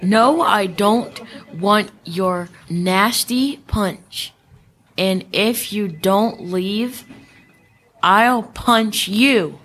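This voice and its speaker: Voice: mid-range voice, very slow, a bit bright. A young amateur performer playing a sulky, defiant schoolboy bully in a school radio play. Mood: threatening.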